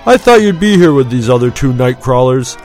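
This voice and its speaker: A man's voice: mid-range voice, expressive, moderate pace, mellow, faint music behind, voice pushed up, lightly acted. A man voicing a confident, slightly smug young cadet with a teasing, self-assured delivery.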